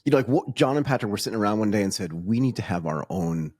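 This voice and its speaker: A man with a low, husky voice hosts a podcast interview. He asks blunt, informal questions. The sound is dry and close. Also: animated, fast, a little mellow, no echo. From lives in Thailand. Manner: direct, informal questions with laughs and short backchannels. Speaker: podcast host.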